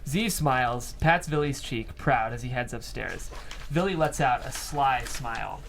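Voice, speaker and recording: A man's voice: medium pitch, lively intonation, unhurried, gravelly, a bit bright, slight background noise. A man reading stage directions as scripted narration at a screenplay table read.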